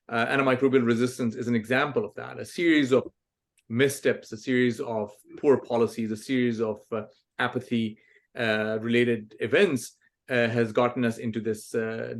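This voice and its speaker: A man in his forties from Pakistan, a guest author answering interview questions thoughtfully, with frequent ums and restarts. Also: mid-range voice. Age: 40s. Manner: thoughtful, many fillers and restarts.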